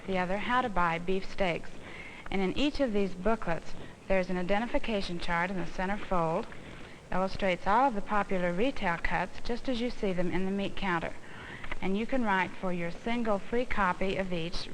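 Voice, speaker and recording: An American woman giving an instructional demonstration, explaining things in a deliberate, didactic way. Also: mid-range voice. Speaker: instructional film presenter, home economist. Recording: noisy old instructional film soundtrack.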